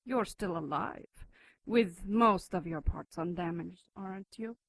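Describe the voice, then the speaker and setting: A woman's voice: on the low side, a little slow, gravelly, fairly bright tone, dry recording, exaggerated voice. An actress playing an imperious, taunting female demon who leads a gang, in an audio drama. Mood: cold menace. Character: cold, menacing female demon gang leader.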